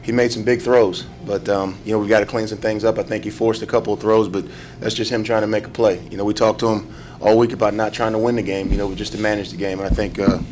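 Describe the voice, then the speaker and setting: A man's voice: on the low side, flat delivery, a little mellow, a little hiss or hum. A man in his thirties, a head football coach answering reporters after a game. He speaks at a table microphone, stumbling and filling with "you know.". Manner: quick, halting, many "you know" fillers. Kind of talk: postgame press conference answers. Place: press room before a sponsor backdrop. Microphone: table mic in front.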